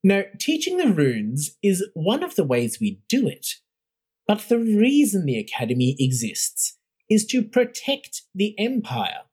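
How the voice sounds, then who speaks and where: A woman's voice: medium pitch, huge pitch swings, unhurried, a little mellow, husky, voice pushed up, full character voice. A narrator voicing a stern, commanding woman who heads an imperial academy, speaking with cutting scorn. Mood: scornful.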